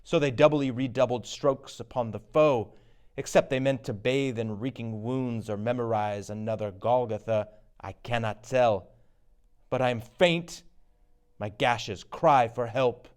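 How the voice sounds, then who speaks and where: Man playing a slow, grave wounded soldier. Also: medium pitch, darkish tone, clear, resonant tone, lightly acted. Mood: grim. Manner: grave, declamatory. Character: wounded soldier proudly reporting a bloody battle.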